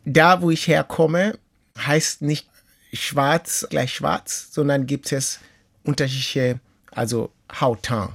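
A middle-aged man from Kenya, a guest in an interview, telling his life story in an earnest, measured and reflective way. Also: mid-range voice, gravelly. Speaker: interview guest, author and teacher.

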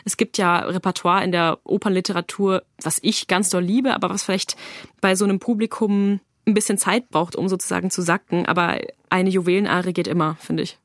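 A woman's voice: on the low side, fairly quick, no echo, studio quality. A young woman, an opera soprano, talking enthusiastically in a casual radio interview. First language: German. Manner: enthusiastic, lively, casual phrasing with fillers. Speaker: soprano singer, interview guest.

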